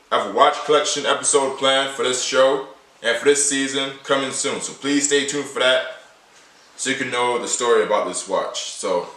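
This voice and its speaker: Rambling teenage guy, bright echoey voice. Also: mid-range voice, recorded from a distance. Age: late teens. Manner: repetitive, rambling, restates points often. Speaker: vlogger showing his everyday carry. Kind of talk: casual to-camera vlog.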